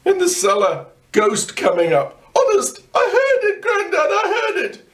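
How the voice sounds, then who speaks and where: A man's voice: extremely high pitch, huge pitch swings, unhurried, a bit bright, pitched above the performer's own voice, exaggerated voice. An older man voicing a frightened young boy in a children's story, stammering and repeating himself. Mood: frightened, pleading. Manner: stammering, breathless repetition. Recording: distant microphone.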